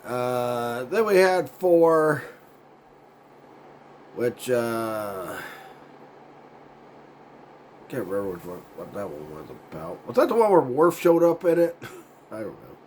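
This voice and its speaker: Guy with a head cold, raspy hoarse voice, just rambling on his channel, stumbles over words, chuckles a lot. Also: medium pitch, very animated, unhurried, darkish tone, slight background noise. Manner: laid-back and meandering, loses his words, chuckles often. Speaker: YouTube show host. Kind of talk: casual rambling vlog chat.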